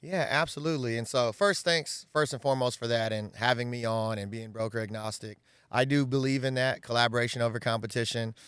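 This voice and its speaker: A man's voice: mid-range voice, steady tone, fairly quick, a bit bright, clear, resonant tone, no echo, clean studio sound. A man in his thirties from the US West Coast, a guest in an interview, talking earnestly and at length, often saying "right?" and "um". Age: 30s. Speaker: guest, real estate agent. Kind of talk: casual interview talk. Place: outdoors in a backyard.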